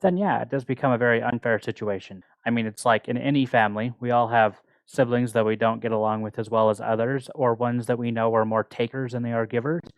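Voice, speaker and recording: A man's voice: mid-range voice, steady tone, brisk, few pauses, no room sound, poor audio. A man co-hosting a book club podcast, talking casually and explaining his thoughts in a reflective way.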